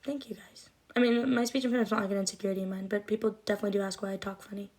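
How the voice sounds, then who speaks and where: Young woman rambling on a phone livestream, slight lisp, soft r's, lots of likes and ums, mid pitch. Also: fairly even intonation, very fast. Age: 20s. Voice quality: slight lisp and trouble with R sounds. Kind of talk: casual livestream chat answering comments.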